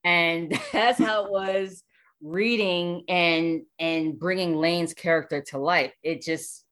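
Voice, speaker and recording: Low-voiced woman chatting as a guest. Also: steady tone, slow pace, a bit bright. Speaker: voice actor guest. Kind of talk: casual interview chat.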